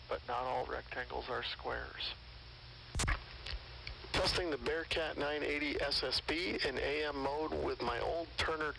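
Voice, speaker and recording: A man's voice: on the high side, gravelly, bright, forceful tone. A man reading out a scripted test transmission, heard through a CB radio receiver. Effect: received over CB radio. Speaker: radio hobbyist doing transmit tests. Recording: noisy CB radio transmission with clicks. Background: radio static.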